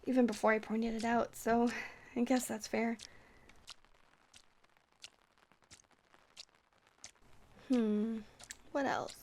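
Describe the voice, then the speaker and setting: A woman playing a shy, friendly vampire who rambles hesitantly and flirts sweetly in an ASMR roleplay. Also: on the high side. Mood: awkward, chatty. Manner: hesitant, rambling, soft-hearted, awkwardly stammering.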